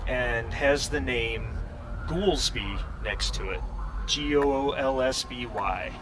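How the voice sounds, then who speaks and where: A man's voice: medium pitch, slow pace, noisy background. A man working as game master, narrating a tabletop role-playing game in a conversational, somewhat hesitant way.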